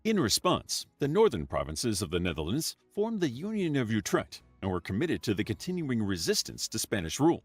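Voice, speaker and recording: Male documentary narrator with a slightly husky voice and an animated delivery, over faint background music. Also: mid-range voice, no echo. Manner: even, measured reading. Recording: narration from a played video with music under it.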